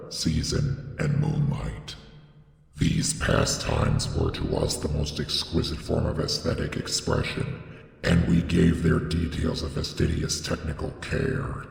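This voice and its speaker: A man reading gothic horror as dramatic scripted narration, with solemn, deliberate, theatrical phrasing and a gravelly voice. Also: a little mellow. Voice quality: very deep, gravelly. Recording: echoey with long reverb.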